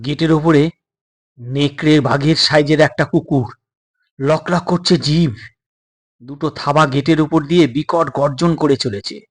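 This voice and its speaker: A man narrates a suspense tale as a dramatized audio story. His voice sits a little high and has a distinctly husky, breathy grain, shaped for scripted storytelling. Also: steady tone, measured pace, pauses often.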